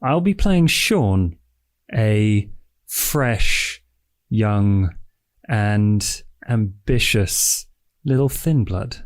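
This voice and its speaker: A man with a fairly low voice introduces himself as a player in a tabletop game. He speaks extremely slowly, in a deliberate, showy manner. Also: lively intonation.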